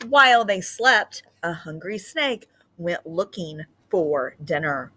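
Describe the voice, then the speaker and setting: Bright-voiced woman teacher, unhurried. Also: lowish voice. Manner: simple explanatory phrasing, expressive when reading. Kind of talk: children's read-aloud and lesson.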